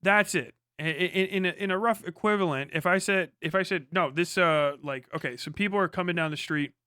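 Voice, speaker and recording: A man's voice: high voice, a little fast, sharp tone, smooth, no echo, clean studio sound. A man giving casual, sweary live commentary as a stream host, blunt and scornful in a slangy way. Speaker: livestream host reacting to a debate.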